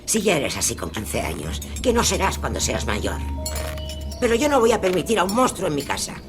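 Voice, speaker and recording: Abuela severa y regañona, muy expresiva. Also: female voice, low voice, huge pitch swings, brisk, over music. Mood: scolding, bitter. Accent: Castilian Spanish. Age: older. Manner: scolding, nagging, sharp-tongued. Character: harsh, scolding, domineering old grandmother. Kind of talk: audio drama acting.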